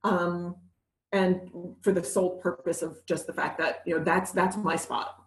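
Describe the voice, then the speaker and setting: A woman's voice: lowish voice, measured pace. A woman from New City, talking candidly and with animation as an interview guest. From New York City. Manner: candid, animated, laughs easily. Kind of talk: conversational interview. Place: living in Montreal. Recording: roomy echo.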